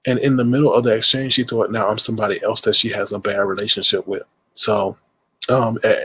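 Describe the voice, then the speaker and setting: A man's voice: medium pitch, a bit bright, slightly rough, dry recording, poor audio. A man with a stuffed-up nose hosting a casual livestream, rambling and greeting viewers in chat mid-sentence. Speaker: livestream host.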